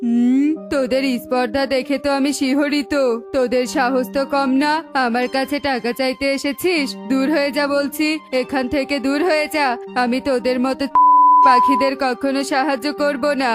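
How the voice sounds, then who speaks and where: A high-pitched, haughty rich eagle from a cartoon fable, voiced by a woman. The bird scolds scornfully and without pity, with music clearly underneath. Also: steady tone, normal speed, close to an everyday voice. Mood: scornful anger.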